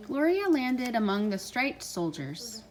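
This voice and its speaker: Woman, parent reading a children's story aloud, higher-pitched voice. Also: big pitch swings, unhurried, background noise.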